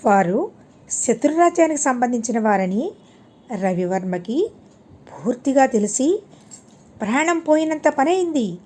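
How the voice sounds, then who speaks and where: A woman reading scripted moral stories for an audiobook in speech, expressive and measured, in short phrases. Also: high voice. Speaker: storyteller.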